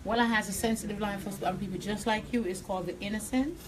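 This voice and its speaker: A woman's voice: medium pitch, steady tone, quick pace, crowd noise, rough recording. A woman with a Jamaican accent, a hairstylist giving a live tutorial in a salon, talking bluntly and directly. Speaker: hairstylist and educator. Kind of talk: live tutorial while cutting hair. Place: hair salon.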